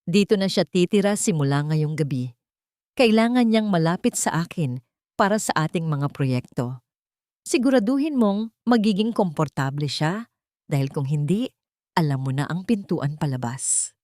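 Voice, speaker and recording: A woman's voice: deep voice, expressive, normal speed, a little mellow, no echo. A woman plays a cold husband in an audio love drama. He is domineering and curt, handing out orders, and the sound is dry and close. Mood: cold, threatening. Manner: curt, commanding.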